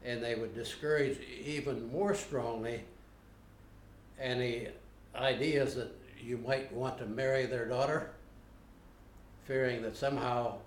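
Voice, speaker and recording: Older guy telling old stories slow, lots of uhs, echoey room, faint hiss. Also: mid-range voice, steady tone. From United States. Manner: unhurried, plainspoken, frequent uh pauses. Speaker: interviewee. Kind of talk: unscripted recollection. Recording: roomy home video recording.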